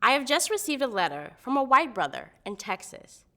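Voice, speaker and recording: Woman reader, high bright voice, earnest and emphatic delivery of a historic letter. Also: big pitch swings, brisk. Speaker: reader at a commemorative public reading. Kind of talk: reading a historic letter aloud.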